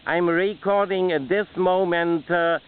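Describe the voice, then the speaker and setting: A man's voice: sits high, steady tone, a little slow, smooth, phone-quality sound. A man with a German accent making a CB radio contact, repeating phrases in courteous radio procedure. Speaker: amateur CB radio operator.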